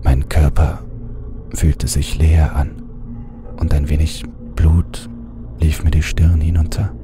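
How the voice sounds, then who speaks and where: A man narrating a horror audiobook in a hushed voice that often drops to a half-whisper. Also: rumbling low, flat delivery, slow. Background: music bed.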